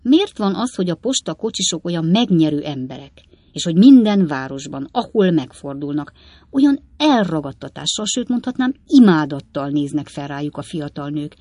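A woman's voice: sits low, very animated, warm tone, no echo. A woman voicing an old coachman father in a storybook reading, grumbling and reasoning pompously with emphatic indignation. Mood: pompous musing. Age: older.

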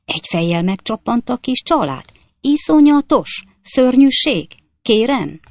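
A woman's voice: sits low, lively intonation, gravelly, darkish tone, no room sound. A woman voicing a boastful, talkative male swindler who speaks in short, clipped fragments. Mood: lurid storytelling. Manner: clipped, breathless fragment sentences. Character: boastful chatty male swindler, young gent.

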